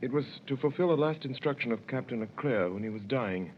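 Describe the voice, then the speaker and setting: A man's voice: medium pitch, expressive, fairly quick, highs cut off, natural voice. An actor in his twenties narrating and playing an eager young sailor in a 1930s radio drama, earnest in manner, on a hissy old recording. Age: 20s. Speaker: narrator and lead.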